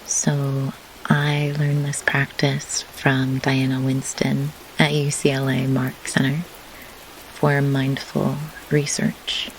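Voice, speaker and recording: Woman, low-pitched, unhurried meditation guide, measured pauses, faint rainy pink-noise hiss behind. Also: low-quality recording. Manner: measured, deliberate phrasing with pauses. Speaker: grief doula, guided meditation host. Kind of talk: slow guided meditation instruction. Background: pink noise like steady rain.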